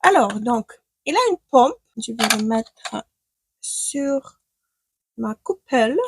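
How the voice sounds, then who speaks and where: A woman's voice: on the high side, animated, slow pace, noisy background. A woman from Ukraine who speaks with a Ukrainian accent and whose first language is not the one she speaks. She chats casually to camera as a beauty vlogger, hesitating and thinking aloud while she applies makeup at home. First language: not French. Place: home room with daylight.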